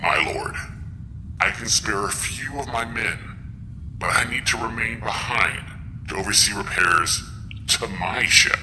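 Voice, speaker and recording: Guy playing grudging evil commander, audio drama, low voice, echoey and noisy. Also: a little slow, pauses often, close to an everyday voice. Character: reluctant villainous commander serving a dark lord.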